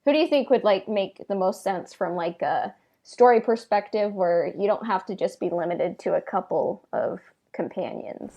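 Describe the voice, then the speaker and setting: A woman's voice: mid-range voice, animated, normal speed, gravelly, warm tone, muffled recording. A woman hosting a podcast in casual chat, speaking a little hesitantly with lots of filler words. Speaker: podcast host.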